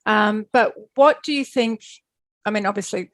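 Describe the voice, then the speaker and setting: A woman hosting a podcast, interviewing a guest with encouraging, quick affirmations. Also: medium pitch, no room sound. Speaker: podcast host.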